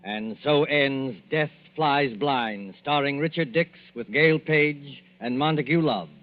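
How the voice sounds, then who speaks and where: A man narrating a 1940s radio drama series in a measured, dramatic announcing style. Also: mid-range voice, faint music behind. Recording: tinny old radio broadcast.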